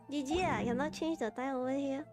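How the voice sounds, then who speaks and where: A woman with a Malaysian accent chatting casually on a game livestream, talking half to herself in short, repetitive fragments. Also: sits high. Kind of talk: casual livestream chat while playing a game. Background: game music.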